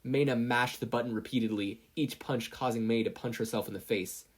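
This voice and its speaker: Husky, medium-pitched story narrator. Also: fairly even intonation, a little fast. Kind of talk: scripted fan fiction narration.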